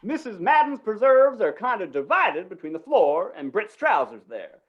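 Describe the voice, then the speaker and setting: A flustered small-town fair announcer voiced by a woman in an old western radio play. The delivery is dithering and folksy, with very animated, swooping pitch. The live recording sounds slightly dull and lo-fi. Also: lightly acted. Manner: dithering, halting, folksy, repeats words.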